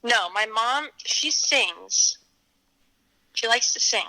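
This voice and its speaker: Woman around twenty, bright slightly high voice, casual interview guest, tentative and playful. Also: animated, slow pace, rough recording. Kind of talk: casual chat.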